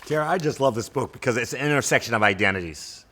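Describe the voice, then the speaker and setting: A man's voice: mid-range voice, animated, quick pace, fairly bright tone. A man acting as host, putting interview questions to a guest and moving the program along.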